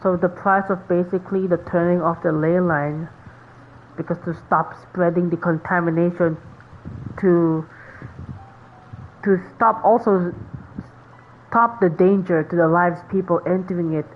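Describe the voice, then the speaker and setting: A woman reacting casually as she plays a game, in halting, muttered commentary. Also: lowish voice, fairly even intonation, phone-quality sound, a little hiss or hum. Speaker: gamer playing and reacting.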